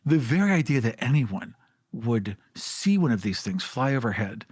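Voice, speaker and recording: A man guesting on a podcast, chatting about a fantasy tabletop game in an animated, jokey way. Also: medium pitch, moderate pace, husky, no room sound. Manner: animated, jokey, laughs often.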